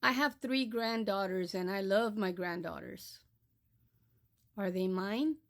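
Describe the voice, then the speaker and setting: Slow, firm older woman from Texas. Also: mid-range voice. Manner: firm, admonishing, deliberate. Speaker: social media creator. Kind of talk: direct-to-camera monologue.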